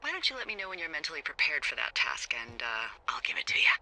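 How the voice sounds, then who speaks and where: A woman's voice: low voice, big pitch swings, fast, pushed and bright, exaggerated voice, low-quality recording. An actress playing a wry, teasing middle-aged female fire lookout supervisor in a video game, American, talking playfully and conversationally over a two-way radio. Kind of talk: naturalistic video game dialogue.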